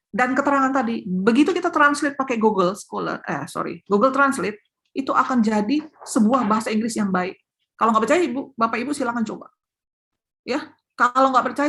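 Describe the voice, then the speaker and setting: A woman lectures on academic writing in a high, lively voice. She stresses her main points through repetition and speaks directly to her audience. It sounds like a guest speaker on a webinar. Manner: animated, repeats key points, addresses audience directly. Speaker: professor and invited speaker.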